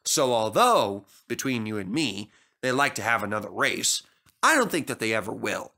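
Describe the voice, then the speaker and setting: A man narrating a scripted children's story as an animated storyteller. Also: lowish voice, moderate pace.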